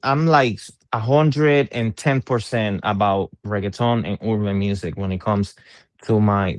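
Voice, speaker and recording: A man's voice: medium pitch, clean tone, dry recording. A middle-aged man from Puerto Rico, an interviewed musician, talking in a casual conversation, hesitant and full of fillers and repeated words.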